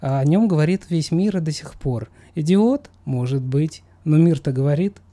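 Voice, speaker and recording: A man's voice: fairly high pitch, moderate pace, dark tone. A man speaking as a psychologist guest in a discussion, coaching assertively with emphatic repetition. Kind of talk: conversational expert discussion.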